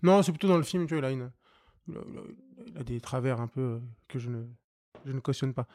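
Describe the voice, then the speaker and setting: A young man chatting as a guest, quick and loose, often laughing and trailing off. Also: medium pitch, warm tone, dry recording, studio quality. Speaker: guest, co-founder of a street art venue. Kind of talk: casual chat.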